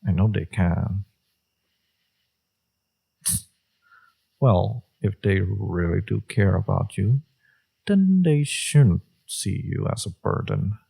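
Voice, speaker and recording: A man playing a flirtatious, teasing villain with a secretly tender side in an intimate romantic audio roleplay, his voice husky and murmured. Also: lively intonation, dark tone, heavily acted. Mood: gentle, probing. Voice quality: low, husky, gravelly, breathy. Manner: slow, teasing, murmured delivery.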